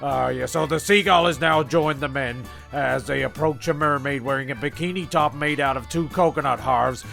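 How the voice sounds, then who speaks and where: A man's voice: medium pitch, normal speed, vocal fry, fairly bright tone. A man hosting and narrating a comic sketch show in a rambling, stammering, sweary way. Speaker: narrator and host. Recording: homemade audio with faint music behind.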